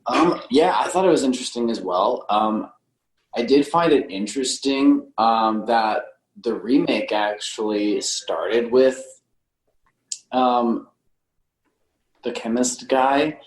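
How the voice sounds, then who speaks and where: A man's voice: medium pitch, takes it slowly. A man taking part in a casual podcast film discussion, speaking in a tentative, reflective way. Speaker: podcast panelist reviewing films. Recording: roomy, echoey sound.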